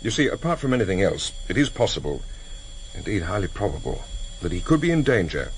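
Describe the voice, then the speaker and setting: An actor playing a grave, courteous British security officer in a radio drama, speaking in a measured, formal, quiet way. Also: male voice, low-pitched, very animated, rough recording. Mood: calm, insistent. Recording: vintage radio recording, faint background noise.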